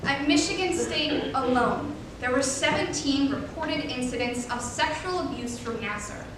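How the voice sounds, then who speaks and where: A young woman delivering grave, emphatic scripted narration in reader's theater. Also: moderate pace. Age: young adult. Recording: echoey room, distant mic, rumbling noise.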